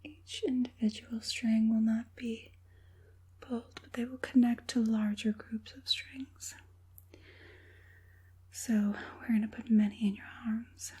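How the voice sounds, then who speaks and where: A woman playing an evil, proud and doting witch puppet master in a polite, breathy ASMR whisper with occasional softly voiced words. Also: a little high, exaggerated voice. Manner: unhurried, polite, hushed, deliberate with repeated affirmations.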